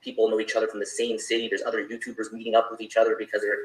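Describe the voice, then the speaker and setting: A man's voice: on the low side, monotone, very fast, echoey room, low-quality recording. A man with a Canadian accent chatting casually as a guest YouTuber on an interview show. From Toronto area, Canada.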